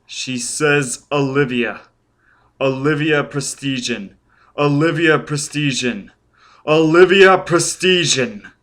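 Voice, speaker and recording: A man voicing an agonized, uncanny burning apparition with grating, halting speech in an audiobook story. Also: medium pitch, bright, forceful tone. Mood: urgent, tormented.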